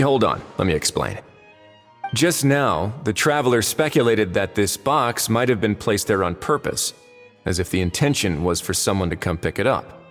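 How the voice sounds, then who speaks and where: An actor playing a calm, methodical investigator in a video game, speaking in a measured, polite, analytical way. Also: male voice, fairly low pitch, in a large hall, faint music behind, close to an everyday voice. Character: calm, methodical male investigator reasoning aloud.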